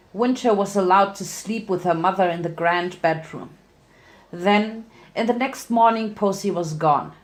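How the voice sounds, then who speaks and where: A woman narrating fan fiction as a dramatic reading, occasionally stumbling and correcting herself. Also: medium pitch.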